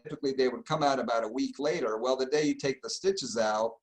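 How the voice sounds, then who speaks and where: Middle-aged guy from argentina chatting in an interview, mid-pitched voice, relaxed storytelling. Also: fast. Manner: explanatory, easygoing storyteller. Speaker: plastic surgeon, guest. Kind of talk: casual interview.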